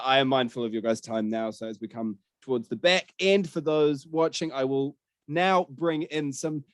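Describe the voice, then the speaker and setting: A man's voice: medium pitch, dry recording, clean studio sound. Casual New man hosting. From New Zealand. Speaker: podcast host and interviewer. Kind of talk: casual podcast chat and interviewing.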